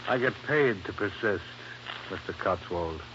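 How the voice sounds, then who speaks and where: A man's voice: low voice, expressive, unhurried, lo-fi sound. A man playing a tough, wry American insurance investigator in a 1950s radio detective drama, dry and confident. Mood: defiant. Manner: dry, confident, matter-of-fact. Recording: noisy, band-limited old radio recording.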